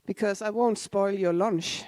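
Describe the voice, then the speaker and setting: A woman's voice: mid-range voice, steady tone, measured pace, a little mellow, no echo. A woman in her forties, originally from Denmark, giving a casual, self-deprecating conference talk with asides to the audience. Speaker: conference speaker. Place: conference hall.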